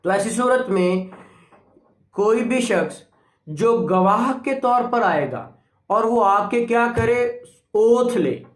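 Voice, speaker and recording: A man's voice: high-pitched, lively intonation, slow pace. A man with a Pakistani accent giving a law lecture, mixing in English terms, in an emphatic, didactic way with key phrases repeated. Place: echoey indoor room. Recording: echoey room.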